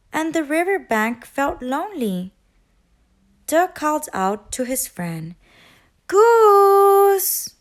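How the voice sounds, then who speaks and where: Lady teacher reading a kids book out loud, high voice, slow and very expressive. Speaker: storyteller, teacher. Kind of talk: expressive children's read-aloud narration.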